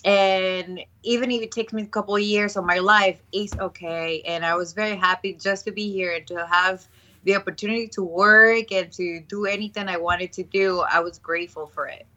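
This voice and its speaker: Woman from Ecuador with bright sound. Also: medium pitch, fairly even intonation, normal speed, highs cut off. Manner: earnest, frequent restarts and fillers. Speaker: guest. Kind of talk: casual interview conversation.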